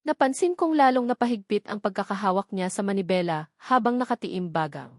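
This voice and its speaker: A woman's voice: mid-range voice, lively intonation, fairly quick, darkish tone, no room sound, studio quality. A woman reading a first-person romance novel as a scripted audiobook narration.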